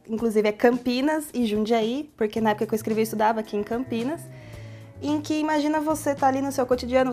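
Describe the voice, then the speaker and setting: Brazilian woman, São Paulo interior accent, talkative novelist guest, higher voice, light music bed. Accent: Brazilian Portuguese, São Paulo interior. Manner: talkative, animated, long explanations. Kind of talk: TV studio interview.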